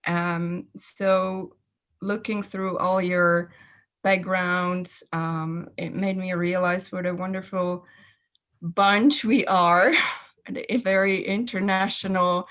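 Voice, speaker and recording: A woman's voice: fairly low pitch, fairly bright tone. A woman volunteer speaking on a video call in a thoughtful way. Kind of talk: casual video call remarks.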